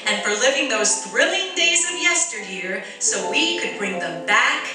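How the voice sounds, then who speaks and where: A woman's voice: on the high side, big pitch swings, measured pace. A woman, speaking as a radio theatre director and announcer, addressing a live audience from the stage. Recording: echoey hall, distant mic, music and audience.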